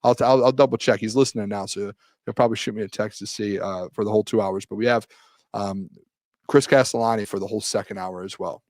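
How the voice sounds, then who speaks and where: A man hosting a live sports talk show, hoarse from talking too much, bantering and defending his side. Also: fairly low pitch, fairly even intonation, quick pace, darkish tone, dry recording. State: losing his voice.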